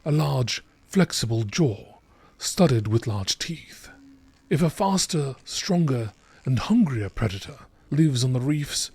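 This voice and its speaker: A man giving a measured, informative scripted narration in the style of a nature documentary. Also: medium pitch, lively intonation, darkish tone, slightly rough, no echo. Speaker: reader of video game text.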